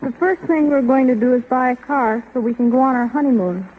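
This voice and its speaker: Husky young woman on tinny old radio. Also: high voice, a little fast. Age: 20s. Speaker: interview guest. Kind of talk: scripted-sounding 1930s radio interview.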